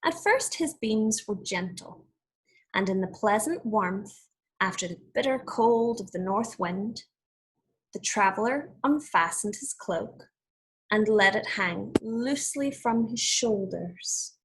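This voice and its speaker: Husky-voiced woman teacher reading to children. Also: mid-range voice, low-quality recording. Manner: didactic, expressive, deliberate. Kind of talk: storytelling with explanations and questions for young children.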